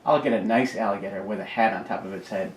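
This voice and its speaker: A man's voice: fairly low pitch, fast, close to an everyday voice. Man playing a weary, mumbling daddy, echoey and distant. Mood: patient. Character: sleepy, patient daddy soothing his boys. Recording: echoey home recording, distant mic, background noise.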